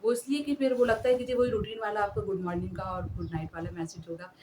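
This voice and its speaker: A woman's voice: mid-range voice, steady tone, fast talker. A woman hosting an etiquette talk, speaking earnestly and politely to her listeners. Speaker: host. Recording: distant mic with clear background noise.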